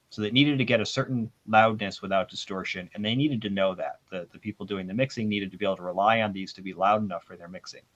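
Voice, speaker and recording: A man explaining technical matters informally as an audio expert on a panel, giving his opinions in a discussion. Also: fairly low pitch. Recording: dull, band-limited sound.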